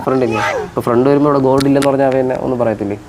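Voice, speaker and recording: A man's voice: medium pitch, fairly even intonation, racing, very noisy. A man chatting casually and playfully as a prank host, teasing and repeating his questions insistently.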